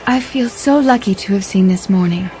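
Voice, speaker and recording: A woman voicing a brave, determined young chief's daughter in a children's read-along story, with earnest, expressive delivery. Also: medium pitch, normal speed, faint music behind, close to an everyday voice. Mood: hushed wonder.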